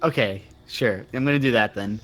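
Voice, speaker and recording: A man's voice: medium pitch, normal speed, a bit bright. A man chatting casually as a player in a tabletop roleplaying game.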